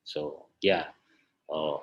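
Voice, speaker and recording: A man's voice: extremely low pitch, fairly even intonation, drawn-out words, highs cut off. A man with an Indonesian accent answering interview questions conversationally, often searching for his words. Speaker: interview guest, theatre researcher and festival director.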